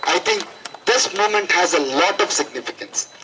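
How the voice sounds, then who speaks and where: A man's voice: high-pitched, wildly swooping pitch, moderate pace, creaky, sharp tone, a faint crowd, a little hiss or hum, rough recording. A young man with an Indian accent giving an impassioned protest speech into a handheld microphone, emphatic and repeating phrases for effect. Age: young adult. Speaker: student activist. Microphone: handheld microphone through a PA.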